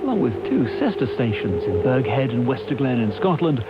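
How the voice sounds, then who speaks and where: A man's voice: mid-range voice, very animated, a little fast, heavy background noise. A man narrating a scripted report as a radio news correspondent. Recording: dull radio broadcast with music behind.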